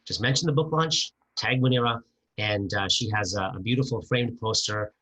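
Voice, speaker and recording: A man moderating a virtual book launch over a video call, enthusiastic and halting with many fillers and restarts. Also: fairly low pitch, sharp tone, husky, poor audio. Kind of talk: casual event hosting.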